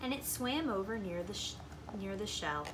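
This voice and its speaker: A woman reading a children's story aloud like a preschool teacher, with expressive, deliberate phrasing. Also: mid-range voice, background noise.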